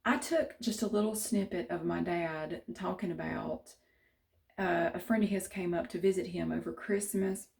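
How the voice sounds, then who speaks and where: Chatty Southern woman, mellow voice. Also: fairly low pitch, moderate pace, creaky. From central North Carolina. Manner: chatty, rambling, many restarts. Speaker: vlogger. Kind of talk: casual chat to camera.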